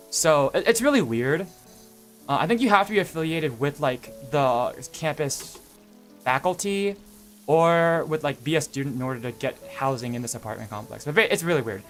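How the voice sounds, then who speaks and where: A young man streaming, chatting casually in a rambling, self-correcting way with lots of fillers. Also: fairly high pitch. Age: young adult. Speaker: VTuber streamer.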